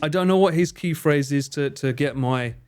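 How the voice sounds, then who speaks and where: A man's voice: on the high side, normal speed, darkish tone, clean tone, faint music behind. A man streaming live, chatting casually with viewers in a joking, theatrical way and swearing freely. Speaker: streamer and cameraman.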